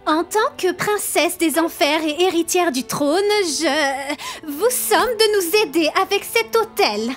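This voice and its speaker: A woman's voice: very high-pitched, lively intonation, takes it slowly, faint music behind, full character voice. A woman playing a kind, idealistic young princess of hell in a cartoon fan dub, earnest and hesitant. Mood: nervous, determined.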